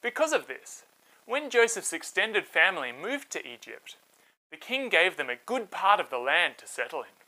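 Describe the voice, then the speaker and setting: A man hosting and narrating a scripted story for children, with dramatic, clearly phrased delivery. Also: sits high, big pitch swings, moderate pace, bright, forceful tone, low-quality recording. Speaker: storyteller, host.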